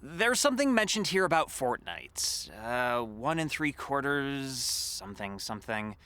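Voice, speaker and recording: A pedantic, put-upon assistant in a comedy audio drama. His bright voice moves slowly and hesitantly, mumbling one moment and swinging up the next, with a quietly sarcastic edge. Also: mid-range voice, big pitch swings, smooth. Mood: muttering, sheepish.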